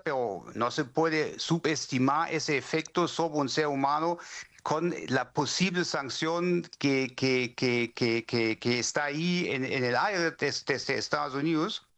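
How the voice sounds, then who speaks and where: A man with a fairly high voice and a German accent answers interview questions like an academic. He explains point by point in a second language, halting and repeating words as he looks for the right phrase. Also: fairly bright tone. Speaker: legal academic, interview guest.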